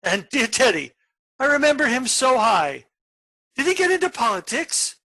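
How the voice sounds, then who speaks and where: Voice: sits high, sing-song, normal speed, sharp tone, heavily acted. An actor playing a menacing, brooding criminal nephew with a theatrical, mock-sweet manner in a stage comedy for radio.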